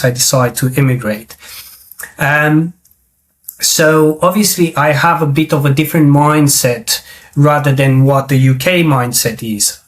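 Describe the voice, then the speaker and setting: A man from Romania who speaks English, hosting a business interview. He asks his questions hesitantly, with frequent fillers and restarted phrases. His pitch is in a plain middle range. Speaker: podcast host.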